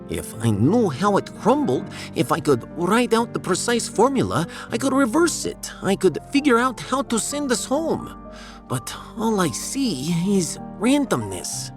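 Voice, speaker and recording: A man's voice: high voice, wildly swooping pitch, a little slow, music in the background, exaggerated voice. A man playing a fretful, self-doubting, dreamy scientist with a Russian accent in a theatrical sci-fi audio drama.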